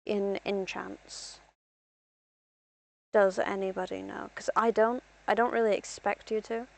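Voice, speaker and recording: A woman's voice: mid-range voice, fairly even intonation, a little slow, gravelly, poor audio. A young woman from Ireland rambling through casual gameplay commentary as a streamer, self-deprecating and talking to herself.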